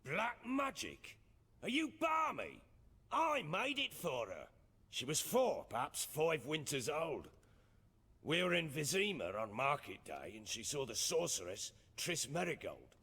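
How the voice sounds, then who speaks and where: An actor playing a gruff, proud middle-aged warlord and father in a video game, speaking in folksy, archaic, blustering phrases. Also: fairly high pitch, huge pitch swings, measured pace, pushed and bright, in a large hall, full character voice. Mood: indignant, then wistful. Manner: blustering, folksy archaic speech, swinging emphasis. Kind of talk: dramatic video game acting.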